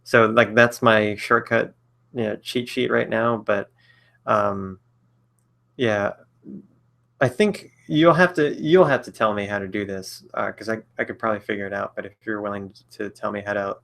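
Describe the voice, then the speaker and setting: A man's voice: on the low side, steady tone, moderate pace, gravelly, a bit bright. A man in his late twenties answering viewer questions on a casual live stream, rambling and hesitant with many fillers and frequent chuckles. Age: 20s. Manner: rambling, hesitant, lots of fillers and self-corrections; chuckles often between thoughts.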